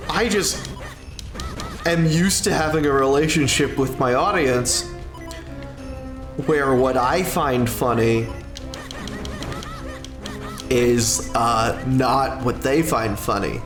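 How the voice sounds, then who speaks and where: Male streamer, mid-range voice, unhurried and rambling delivery, roomy echo, music underneath. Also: mid-range voice. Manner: rambling, halting, with drawn-out pauses and self-corrections. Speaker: livestreamer and content creator. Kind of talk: casual livestream chat, answering a viewer's question.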